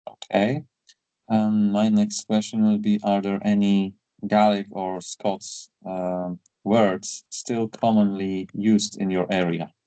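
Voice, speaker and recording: A male interviewer asks his questions slowly and hesitantly, pausing on fillers. His voice sits somewhat low and is mellow and dark. The sound is dry and close. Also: level tone, clean tone, no echo, low-quality recording.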